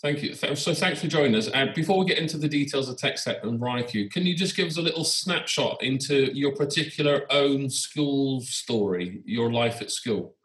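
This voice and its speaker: A man's voice: medium pitch, normal speed, few pauses, a bit bright. A man hosting an education podcast, interviewing a guest with many fillers and false starts. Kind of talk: casual interview. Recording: echoey room.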